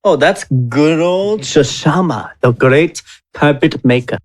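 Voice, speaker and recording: A man's voice: a little high, animated, slow. A man playing a tabletop roleplaying game, bantering casually in character with a jovial, teasing manner.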